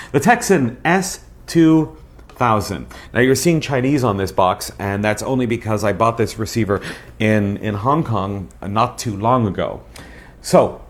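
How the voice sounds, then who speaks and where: A man presents a gadget review with a medium-pitched voice. He talks casually and chattily, puts questions to himself and answers them, and stresses his points by repeating them. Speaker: host of a radio review show. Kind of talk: casual on-camera product review.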